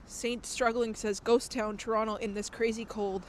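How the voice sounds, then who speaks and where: A woman's voice: a little high, level tone, normal speed, slight background noise. A woman live-streaming outdoors at night, reading viewer comments in a chatty way, a bit nasal from a cold. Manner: chatty, laughs often. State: recovering from a cold, slightly nasal. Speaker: IRL streamer. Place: outdoors on city streets at night.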